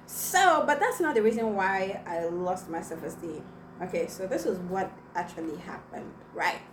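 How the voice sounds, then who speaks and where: A woman's voice: medium pitch, expressive, normal speed. A young woman whose first language is Igbo, chatting to viewers as she tells a personal story with many fillers. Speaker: vlogger. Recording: echoey room, mic at a distance, noisy.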